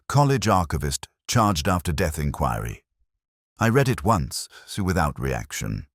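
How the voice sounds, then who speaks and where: A man narrating as a melancholy, world-weary police inspector, in a measured, literary and solemn way. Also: very deep voice, no room sound, studio quality, heavily acted. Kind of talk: scripted first-person detective narration.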